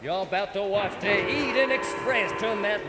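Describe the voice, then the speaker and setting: A man's voice: high-pitched, moderate pace, loud music behind the voice, natural voice. A man playing a theatrical, gloating tyrant villain who rants and menaces in an audio drama. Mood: menacing. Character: gloating male villain, a ranting tyrant slave master. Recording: echoey reverberant hall sound.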